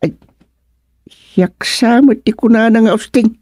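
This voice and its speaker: A man playing a flustered, muddled senior village councilman in an Ilocano radio comedy drama, halting and muttering with many exclamations and an Ilocano accent. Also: high-pitched, darkish tone, dry recording, studio quality. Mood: bewildered, fretful.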